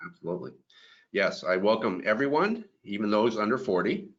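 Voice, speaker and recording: A man's voice: on the low side, very animated, brisk, a bit bright, lo-fi sound. A man in his fifties hosting a career webinar, upbeat and friendly as he runs polls and moderates. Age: 50s. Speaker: webinar host.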